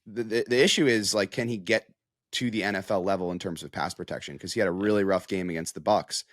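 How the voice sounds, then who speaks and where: A man's voice: sits low, fairly even intonation, fast, dry recording, studio quality. A man analysing football in a casual podcast discussion, with an emphatic manner that leans on repeated phrases.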